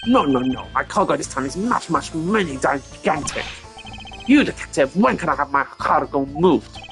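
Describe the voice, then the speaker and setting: A gravelly, self-important art dealer voiced by a man who bristles at any delay and mangles his English with stiff, odd phrasing. Video game music plays clearly behind him. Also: on the high side, animated, normal speed. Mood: haughty. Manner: haughty, broken stilted English. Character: pompous male foreign art dealer, impatient.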